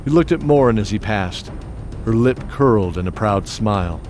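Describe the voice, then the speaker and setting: A man narrating an audio drama in a measured, descriptive storytelling manner. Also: lowish voice, animated, darkish tone. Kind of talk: scripted narration. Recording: music bed under voice.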